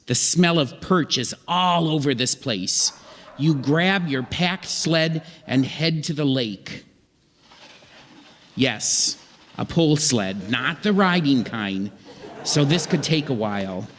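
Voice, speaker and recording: A man narrating a scripted comic story on stage in an old-time radio show, deliberately and with dramatic pauses. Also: medium pitch. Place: live theatre stage. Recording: theatre hall reverb, faint noise.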